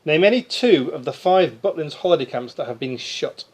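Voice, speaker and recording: A man's voice: a little high, lively intonation, normal speed, slight background noise. A man acting as quiz master, reading questions aloud to contestants at a live quiz. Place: live quiz night, group in a room.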